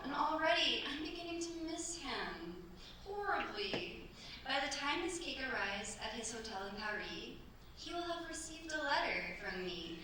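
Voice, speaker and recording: Woman acting high, animated, devoted young wife. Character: devoted, anxious young French wife, genteel. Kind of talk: staged radio play acting. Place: stage at a cultural centre. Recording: roomy far-mic stage recording, faint noise.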